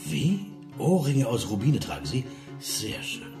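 A man's voice: mid-range voice, huge pitch swings, unhurried. An actor playing a cynical, drinking party guest in a radio play, sardonic and mock-polite as he hammers out his sentences. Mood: mocking gallantry. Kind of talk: German radio play acting. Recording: roomy interior, music behind.

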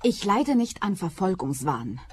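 A woman's voice: fairly low pitch, very animated, a little slow, husky, heavily acted. An actress playing a brazen, paranoid woman client in a radio play, insistent and snappy. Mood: anxious, insistent.

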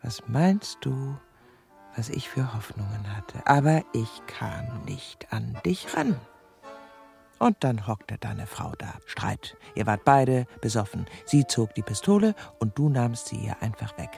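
A woman's voice: very deep voice, very animated, slow, vocal fry, mellow, soft background music, full character voice. An actress playing a lonely, lovesick middle-aged woman in a radio drama, scheming and self-pitying, with an intimate, confiding, dramatic delivery. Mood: confiding, obsessed. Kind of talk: German radio drama acting, dialogue and confiding inner narration.

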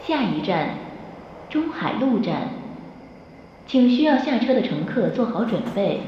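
A woman's voice: on the high side, sing-song, a little slow. An actress playing a polite female subway announcer, making formal station announcements over a public address speaker. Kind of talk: transit announcement. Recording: muffled, very echoey PA, faint noise.

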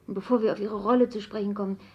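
An actress playing a formal, officious studio executive in a radio drama. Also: female voice, mid-range voice, steady tone, very fast, slightly rough, dull audio, lightly acted. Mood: businesslike. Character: brisk, formal female studio executive vetting applicant.

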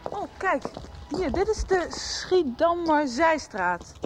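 A woman playing a brisk, practical young woman in a 1990s radio soap. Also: extremely high pitch, very animated, music in the background, exaggerated voice. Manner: brisk, coaxing.

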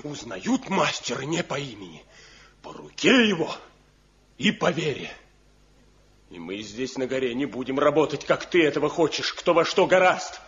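A stern, devout village craftsman in an old radio drama. His fairly high voice rises and falls widely with solemn, indignant declamation. The recording sounds dull and lo-fi. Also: big pitch swings, normal speed, fairly bright tone. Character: pious traditionalist village craftsman, stern and loyal. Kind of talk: Soviet radio drama acting.

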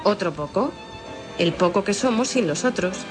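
A woman's voice: mid-range voice, expressive, moderate pace, close to an everyday voice. An actress playing a defiant young woman in a radio play, speaking. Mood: determined. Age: young adult. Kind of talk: Spanish radio theatre acting. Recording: music behind the voice.

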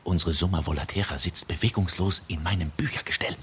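An actor playing a dreamy, hesitant, deliberate male poet in a comic radio play. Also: deep voice, fairly bright tone, a little hiss or hum. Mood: hushed, observing. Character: dreamy, ponderous male poet, hesitant amateur fly observer.

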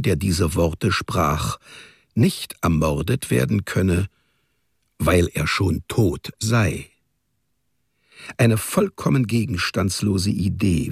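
A male narrator reads scripted literary prose for a radio drama. His voice is deep, creaky and husky. The sound is close and dry. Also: dry recording. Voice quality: gravelly, husky.

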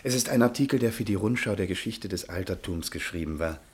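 Shy polite scholar guy, lowish voice, stammery. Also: lively intonation, fast. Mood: flustered. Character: polite, hesitant male scholar, flustered. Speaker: scholarly author visiting the newspaper.

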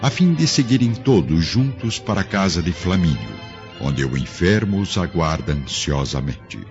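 A man's voice: deep voice, expressive, normal speed. A man narrating a radio drama in a solemn, literary, dramatic style. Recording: dull lo-fi radio drama, music behind.

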